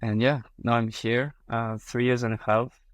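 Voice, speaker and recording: A man's voice: mid-range voice, little pitch movement, normal speed, dry recording. A man in his thirties with a French accent, a guest on a podcast interview, talking with frequent fillers and restarts. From France. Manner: hesitant, many fillers and restarts.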